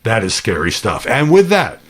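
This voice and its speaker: A man's voice: on the low side, very animated, normal speed, a little hiss or hum. A man hosting a podcast, giving conversational news commentary with emphatic, opinionated asides. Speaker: podcast host and political commentator.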